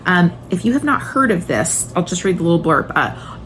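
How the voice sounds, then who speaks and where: A woman's voice: lowish voice, very animated, normal speed, a bit bright, a little hiss or hum, rough recording. A woman in her late thirties chatting casually and enthusiastically to viewers as she recommends books, with emphatic, informal delivery. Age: late 30s. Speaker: homeschooling mother and video host.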